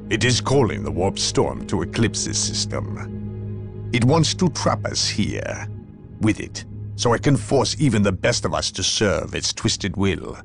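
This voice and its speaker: Game inquisitor: a haughty, zealous evil-hunter, theatrical and expressive, measured gravity. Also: male voice, fairly low pitch, vocal fry, exaggerated voice. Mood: urgent, resolute. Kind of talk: dramatic video game cutscene acting.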